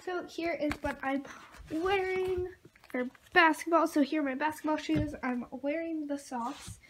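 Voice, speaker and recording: A woman's voice: high-pitched, steady tone, measured pace. A thirteen-year-old girl chatting casually and in a rambling way, as in a home vlog. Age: 13, young teenager. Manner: rambling, informal. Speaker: vlogger. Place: rooms at home, indoors. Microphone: camera at arm's length or propped nearby. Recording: noisy handheld home recording.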